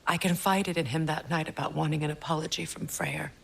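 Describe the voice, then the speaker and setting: A woman playing a sorrowful, regal middle-aged goddess and mother in a video game, speaking in a measured, earnest, wistful way. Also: deep voice, fairly even intonation, creaky. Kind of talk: cinematic video game acting.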